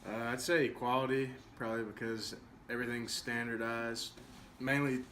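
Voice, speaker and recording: A young man student answering in a relaxed, matter-of-fact way. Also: medium pitch, moderate pace, a little hiss or hum. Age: young adult. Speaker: student interviewee.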